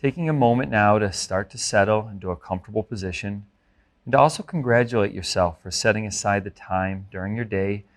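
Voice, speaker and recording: A man instructing a guided body scan meditation in a gentle, measured, instructive manner. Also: fairly low pitch.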